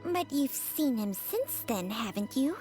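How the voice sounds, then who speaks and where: A sly little snake spirit chimes in with a high, airy voice that leaps all over. She teases with knowing asides, set a bit back from the mic over faint game music. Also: sing-song, measured pace, darkish tone. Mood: teasing. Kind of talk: game voice lines.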